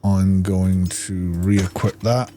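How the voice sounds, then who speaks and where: A man's voice: low voice, flat delivery, slow pace, warm tone, faint music behind. A middle-aged man giving casual gameplay commentary, rambling and thinking aloud. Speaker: gaming YouTuber.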